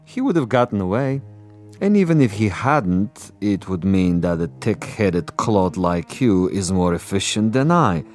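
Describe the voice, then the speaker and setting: A suave, cruel Soviet commissar from a radio play. His voice sits fairly low and moves very slowly, savoring each phrase with theatrical condescension. Faint music runs underneath. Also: animated, darkish tone. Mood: scornful. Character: suave, sadistic, haughty male Soviet commissar and assassin. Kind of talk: radio drama acting.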